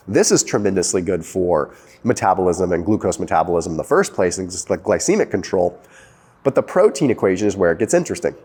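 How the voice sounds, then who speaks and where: A man, not yet sixty, casually explaining health research as host of his own channel, talking fast and emphatically with frequent "okay" and "like". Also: low-pitched, darkish tone, long reverb tail. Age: young adult.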